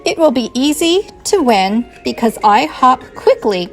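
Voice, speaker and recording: A woman's voice: high voice, sing-song, measured pace, soft background music, exaggerated voice. A woman voicing a boastful, speedy young male hare in a children's storybook, bragging and teasing. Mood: cocky, teasing.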